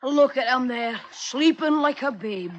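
An actor playing a scheming young sailor in a 1950s radio drama, talking in a folksy, wheedling way with rough grammar. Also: male voice, very high-pitched, lively intonation, measured pace, dull audio.

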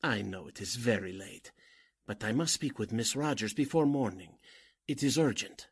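An actor playing a crooked cult leader and fake medium in a 1940s-style radio drama, formal and theatrical in manner. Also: male voice, fairly low pitch, expressive, moderate pace, husky. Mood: urgent, pleading. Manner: formal, theatrical, commanding or pleading.

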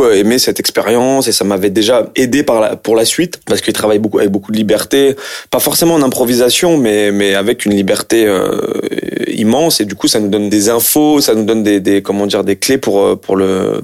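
A man in his late thirties, an actor chatting casually and relaxed in an interview, laughing easily. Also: on the low side, no echo, clean studio sound. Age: late 30s.

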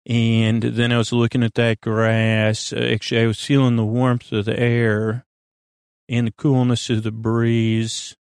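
A man hosting a podcast, delivering a meandering, halting bedtime monologue in a reassuring, gently encouraging way, often trailing off and doubling back. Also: mid-range voice, monotone, clear, resonant tone, no echo, clean studio sound. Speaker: podcast host. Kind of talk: rambling bedtime monologue meant to help listeners sleep.

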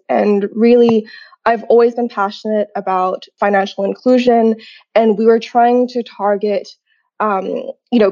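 A woman's voice: mid-range voice, mellow. A young woman from Hong Kong, a guest entrepreneur talking casually in an interview, with lots of fillers and restarts. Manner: chatty, many fillers and restarts. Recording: slightly dull lo-fi sound.

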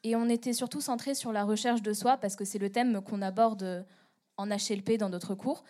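Teen girl guest on the radio, soft mellow voice, lots of euh's. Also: medium pitch, steady tone, normal speed. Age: teens. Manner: hesitant, searching for words. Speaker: student guest. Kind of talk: radio interview answer.

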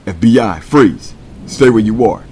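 A man's voice: lowish voice, lively intonation, unhurried, warm tone, a little hiss or hum. A man playing an authoritative federal agent in a crime audio drama, speaking in short commands. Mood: shouting orders. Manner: terse, authoritative.

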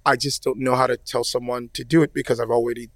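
A man's voice: medium pitch, quick pace, dry recording. A man, an opera tenor, chatting casually in an interview, rambling with many fillers. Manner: relaxed, rambling, many "you know" fillers.

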